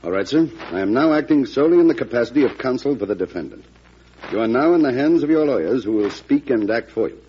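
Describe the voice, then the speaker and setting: A man acts a president's devoted lawyer in a classic radio play. The delivery is formal, measured and grave, like courtroom oratory. The vintage recording is dull, with faint noise. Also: medium pitch, lively intonation, warm tone, natural voice. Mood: businesslike. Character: solemn, loyal male defense lawyer to the president. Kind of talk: old-time radio drama acting.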